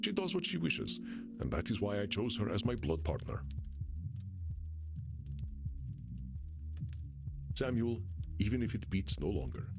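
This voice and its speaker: A low-voiced, very expressive male vampire therapist in a video game. He is courtly and patient, speaking in formal, teasing, instructive phrases that rise and dip freely, with music underneath. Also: a little fast, vocal fry. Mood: warm, earnest.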